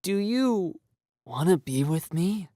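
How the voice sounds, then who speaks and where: A man's voice: high-pitched, expressive, slow pace, mellow, dry recording, clean studio sound, pitched above the performer's own voice. A man voicing an earnest, lovestruck teenage boy who blurts out his words in a story reading. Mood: desperate pleading.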